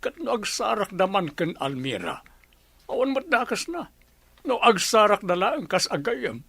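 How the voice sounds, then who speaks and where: A man's voice: sits high, big pitch swings, unhurried, gravelly, bright, forceful tone, husky, no echo, full character voice. A stubborn old Ilocano father. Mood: firm. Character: aging stubborn father set on returning home. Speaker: radio drama actor. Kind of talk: radio drama acting.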